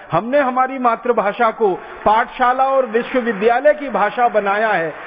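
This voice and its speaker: A man from India giving an impassioned public lecture, driving home each point with repeated parallel phrases. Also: very high-pitched. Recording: tinny phone-like sound in a reverberant hall.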